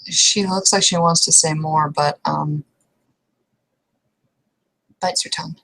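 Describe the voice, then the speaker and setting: A woman's voice: deep voice, fairly even intonation, takes it slowly, creaky, a bit bright, low-quality recording. A woman improvising narration for a roleplaying game in an informal, hesitant way.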